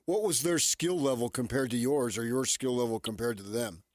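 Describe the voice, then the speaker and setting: A male radio host with a medium-pitched voice in a dry studio sound. He keeps to short, conversational questions and replies. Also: normal speed, a little mellow, no room sound. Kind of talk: brief conversational interview questions.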